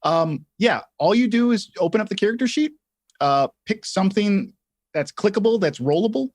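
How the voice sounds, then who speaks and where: A man co-hosting a livestreamed tutorial, talking informally and haltingly as he thinks aloud while explaining. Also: high-pitched, expressive, dry recording, clean studio sound. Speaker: livestream co-host and software instructor. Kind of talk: casual live tutorial talk.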